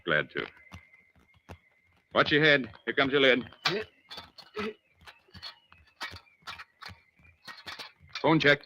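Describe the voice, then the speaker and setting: An actor playing a steady, commanding navy diving officer in an old-time radio drama, giving terse, firm, reassuring orders. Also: male voice, medium pitch, measured pace, muffled recording, a little hiss or hum, heavily acted. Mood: brisk, commanding.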